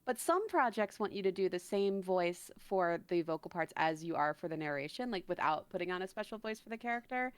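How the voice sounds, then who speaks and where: A woman's voice: on the low side, lively intonation, a little fast, few pauses, clear, resonant tone, dry recording. Casual, joking woman, closely recorded and dry. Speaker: guest narrator and voice actor. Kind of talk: casual chat on a live stream.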